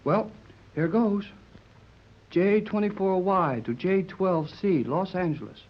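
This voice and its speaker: A man's voice: sits high, slow pace, full character voice. An actor playing an eager, brash American teenage boy in a 1930s radio serial, slangy and earnest. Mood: puzzled, excited. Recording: muffled, worn 1930s radio recording.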